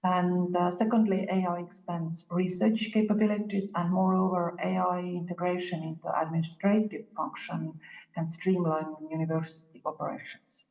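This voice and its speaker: Woman, low-ish voice, steady measured delivery, muffled reverberant recording. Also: creaky. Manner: measured, with frequent "uh" fillers. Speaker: university staff member. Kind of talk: read statement.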